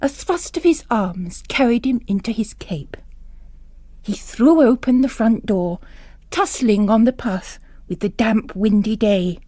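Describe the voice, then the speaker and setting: A woman's voice: medium pitch, warm tone, dry recording. A woman reading scripted literary fiction aloud as a narrator. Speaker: narrator and reader.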